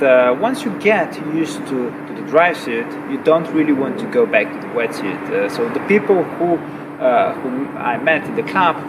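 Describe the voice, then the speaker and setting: A man from Bulgaria with a Bulgarian accent, telling a personal story with frequent pauses, fillers and repeated words. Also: mid-range voice. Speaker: recreational diver. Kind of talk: personal story told to camera. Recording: distant mic with clear background noise.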